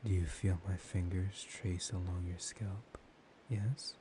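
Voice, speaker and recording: A man playing a dominant, seductive vampire in a soft-spoken ASMR roleplay, slow and teasing, often slipping into whispers. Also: low voice. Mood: soothing, predatory. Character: dominant, seductive male vampire hypnotizing his captive lover. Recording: steady background hiss.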